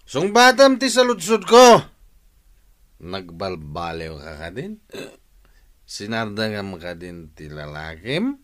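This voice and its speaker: A man's voice: on the low side, wildly swooping pitch, takes it slowly, long pauses, a bit bright, exaggerated voice. An actor playing a confrontational man who scolds and taunts a woman in a radio drama. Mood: taunting.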